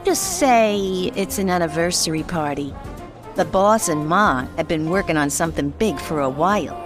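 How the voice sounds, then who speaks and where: An actress playing a sassy, dangerous nightclub hostess in a noir radio drama, flirtatious and self-assured. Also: female voice, fairly low pitch, lively intonation, moderate pace, over music, close to an everyday voice. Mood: teasing, dismissive.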